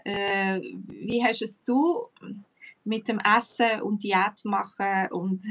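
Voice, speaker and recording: A woman's voice: medium pitch, extremely slow. Brief: woman, Swiss German accent, easygoing teacher-host, chatty with fillers, sounding a bit distant from the mic. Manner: relaxed, chatty, many fillers, laughs often. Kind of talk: casual live chat.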